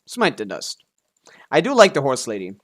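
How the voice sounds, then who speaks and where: A man's voice: mid-range voice, wildly swooping pitch, normal speed, fairly bright tone. A man live streaming a video game, chatting casually in a laid-back way with some offhand swearing as he comments on play.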